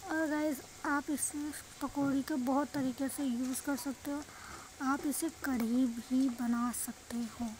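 A woman's voice: sits high, fairly even intonation, takes it slowly, a little mellow. A woman with an Indian accent giving a chatty, repetitive cooking demonstration to viewers as a home cook. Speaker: home cook and vlog host. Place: indoor home kitchen, beside frying pan. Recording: noisy kitchen phone recording.

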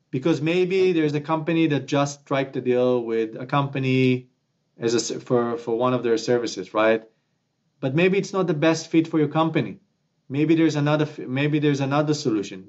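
A man, a founder answering questions in a remote interview, animated and prone to restarts. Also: medium pitch, muffled recording. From Israel. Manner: animated, gestures with both hands, many restarts. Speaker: company founder guest. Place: small office at home, indoors. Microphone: webcam at desk, video call.

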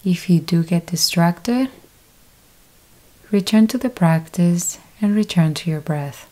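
A woman guides a deep-rest meditation, speaking very slowly with long pauses between short instructions. Her voice is low-pitched and mellow, with a relaxed, unhurried delivery throughout. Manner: slow, calm step-by-step instructions with long pauses. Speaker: yoga nidra teacher.

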